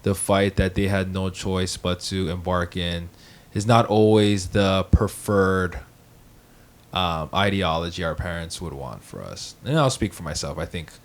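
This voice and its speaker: Young guy from hawaii, lowish voice, jokey and swears a lot but gets quiet and thoughtful. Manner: joking, profane, then reflective and soft-spoken. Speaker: podcast co-host. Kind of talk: casual group chat, storytelling.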